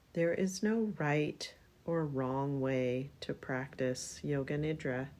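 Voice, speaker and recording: A woman guiding a relaxation meditation in a soothing, unhurried manner, leaving long pauses between phrases. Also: sits low, darkish tone. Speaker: yoga nidra meditation guide.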